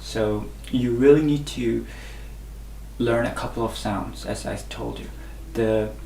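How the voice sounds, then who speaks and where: Slow, patient male language teacher. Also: medium pitch, steady tone, dark tone, roomy sound, slight background noise. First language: Burmese. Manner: repeats sounds clearly three times, patient. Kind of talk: slow instructional lesson.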